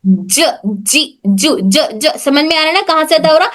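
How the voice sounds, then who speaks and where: A woman's voice: sits high, lively intonation, measured pace, a bit bright. A woman teaching Tajweed pronunciation in an online class, patiently repeating points and checking that students follow.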